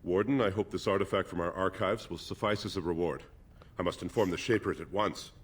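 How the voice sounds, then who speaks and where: A man playing a formal, learned dwarf archivist with a husky voice in a fantasy video game. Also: low voice, a little fast. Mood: amazed.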